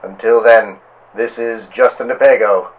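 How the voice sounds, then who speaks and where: A man hosting and narrating a pulp radio serial with portentous delivery and deliberate pauses. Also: medium pitch, fairly even intonation, lo-fi sound. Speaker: host and narrator.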